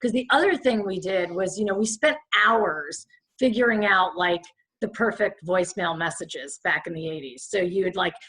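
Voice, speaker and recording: Raspy-voiced chatty woman. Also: lowish voice, normal speed, a bit bright, low-quality recording. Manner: chatty, rambling, lots of fillers. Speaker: podcast guest. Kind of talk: casual chat.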